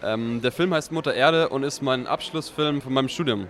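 A man in his mid-twenties with an ordinary mid-range voice who speaks calmly and thoughtfully. He is recorded outdoors in a city setting. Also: mid-range voice, normal speed. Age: 20s. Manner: calm, reflective. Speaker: film graduate.

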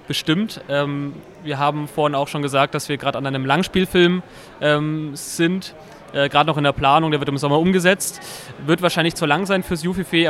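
A teenage man with a slightly raised pitch who explains film production steadily and pauses on fillers. He is heard outdoors at an urban festival. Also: a bit bright. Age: teens. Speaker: young filmmaker.

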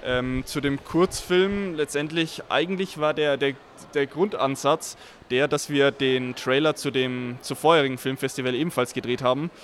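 A teenage man with a mid-pitched voice who circles around his words and restarts often. Faint street and traffic noise sits under him outdoors. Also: steady tone, moderate pace. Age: teens. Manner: hesitant, many restarts. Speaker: young filmmaker.

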